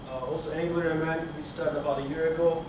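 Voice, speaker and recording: A middle-aged man from Turkey giving a technical conference talk, halting with many fillers and restarts, recorded from the back of a conference room. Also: on the high side, level tone. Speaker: conference speaker. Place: conference room with projector screen. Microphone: room camera from the back of the hall. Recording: echoey hall, speaker far from mic, room noise.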